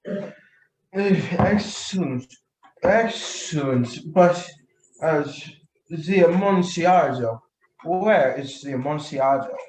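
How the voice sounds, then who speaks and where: A man acting a tipsy, jovial, foolish nobleman in a radio play, slurred and halting with giggly rambling. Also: a little high, darkish tone, slight background noise. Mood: tipsy, eager. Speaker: student actor in a radio play. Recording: echoey room recording.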